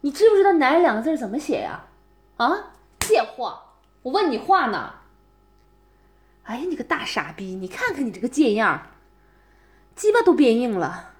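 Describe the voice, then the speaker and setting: A woman's voice: sits high, sing-song, normal speed, long pauses. A woman voicing a scornful young woman who mockingly berates her ex-boyfriend in a one-voice audio roleplay, sneering and full of insults. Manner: sneering, mocking, profane, keeps taunting with "啊？".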